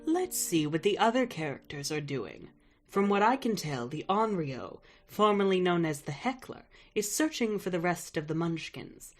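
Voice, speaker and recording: A woman playing a formal storyteller, narrating a horror audio drama in a measured way. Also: on the low side, big pitch swings, faint music behind. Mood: composed.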